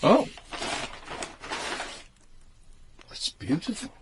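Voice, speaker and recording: An actor in a British radio drama playing a secretive, wealthy smuggler and devoted suitor, earnest and courtly. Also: male voice, normal speed, no echo, close to an everyday voice. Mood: delighted, assured.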